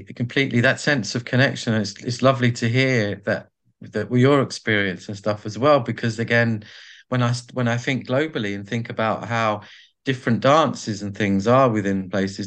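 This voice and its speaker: A man being interviewed, talking in a reflective, halting way with many restarts as he searches for words. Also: medium pitch, fairly bright tone. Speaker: podcast guest, artist and carer.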